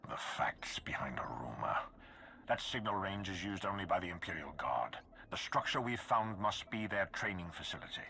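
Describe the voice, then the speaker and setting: A man's voice: deep voice, very animated, normal speed, creaky, bright, forceful tone, exaggerated voice. An actor playing an imposing male Sith lord who speaks in cold, clipped commands. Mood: stern.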